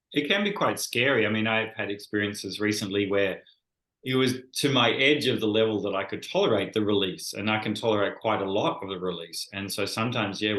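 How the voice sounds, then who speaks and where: A man's voice: on the low side, a bit bright. A man speaking thoughtfully and measuredly as an interview guest, sometimes restarting his sentences. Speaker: guest, bodywork practitioner. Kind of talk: conversational interview. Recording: echoey room.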